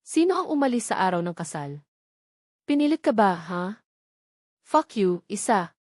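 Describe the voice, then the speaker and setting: A woman's voice: medium pitch, very animated, measured pace, a little mellow, no room sound, studio quality. A woman voicing a cruel, angry, betrayed man in an audiobook, harsh and accusing, with a Filipino accent. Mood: menacing, bitter. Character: furious, cruel, betrayed man, domineering former groom.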